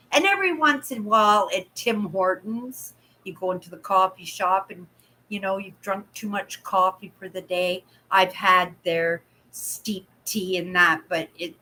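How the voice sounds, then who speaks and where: A woman's voice: mid-range voice, pushed and bright, low-quality recording. A Canadian woman in her sixties from Vancouver, chatting casually with viewers on a livestream from her home, rambling and reading out their comments. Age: 60s. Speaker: livestream host. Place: home room, indoors. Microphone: webcam at desk.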